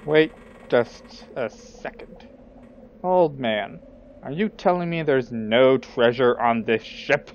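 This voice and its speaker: A man's voice: mid-range voice, slow pace, dull audio, a little hiss or hum. A man voicing a greedy, cowardly, bossy sea captain in a cartoon parody, whiny and blustering. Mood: grumbling.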